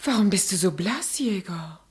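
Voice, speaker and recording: An actress playing a gentle, solicitous wife and mother with a husky, breathy voice, in a German radio drama. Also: medium pitch, animated, normal speed, no room sound. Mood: tender, concerned.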